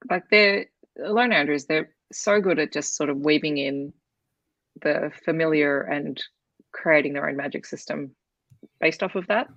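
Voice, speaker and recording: Low-pitched, creaky-voiced woman, very dry sound. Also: a little slow, fairly bright tone, no room sound. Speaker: co-host of a book discussion livestream. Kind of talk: casual chat.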